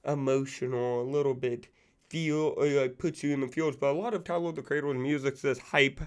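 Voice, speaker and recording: Young man in his twenties, a little worn-down voice from being sick, loose rambling talk, monotone delivery, smooth low rasp. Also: fairly quick. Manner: rambling, loose, full of fillers and profanity. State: recovering from illness, losing his voice. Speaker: music channel host. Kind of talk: casual music commentary.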